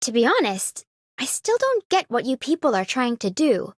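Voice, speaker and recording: High, swooping, blunt young-sounding game heroine. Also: female voice, big pitch swings, moderate pace, no room sound, clean studio sound. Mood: candid, content. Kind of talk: video game character voice line.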